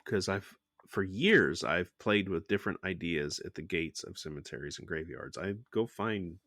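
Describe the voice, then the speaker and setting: A man's voice: low-pitched, normal speed, a bit bright, no echo, studio quality. A male podcast host who is getting over a sinus infection, chatting enthusiastically and laughing often. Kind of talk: casual podcast interview.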